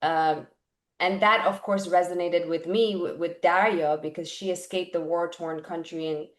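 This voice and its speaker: A woman's voice: on the low side, fairly even intonation, moderate pace. A woman from Iran, an actress guest, speaking candidly and personally in an interview. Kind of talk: casual interview. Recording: echoey room.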